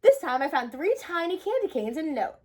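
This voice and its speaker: A woman with a high voice narrates a children's storybook. Her reading is expressive and very animated, moving freely up and down in pitch. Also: a little fast, heavy background noise. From United States. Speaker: read-aloud host.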